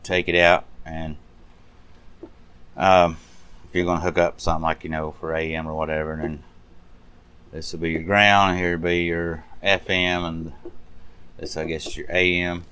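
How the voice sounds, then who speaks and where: A man with a deep, resonant voice talks through a restoration project. His delivery is casual and conversational, with a folksy, rambling flow as he shows things off unscripted. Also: level tone, moderate pace, long pauses, pushed and bright, clean tone. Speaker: hobbyist radio restorer showing a project. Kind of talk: casual unscripted show-and-tell narration.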